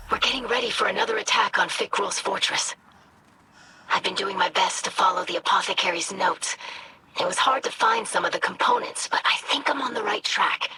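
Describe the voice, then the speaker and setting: A woman's voice: medium pitch, very noisy, natural voice. Woman playing an earnest young alien scholar. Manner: earnest, careful. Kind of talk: video game cutscene acting. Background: cinematic music.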